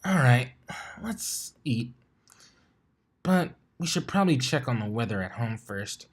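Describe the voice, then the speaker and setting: A man's voice: medium pitch, very animated, unhurried, husky. A man voicing a brash young female pegasus pony with a casual, dry, teasing manner in a story reading.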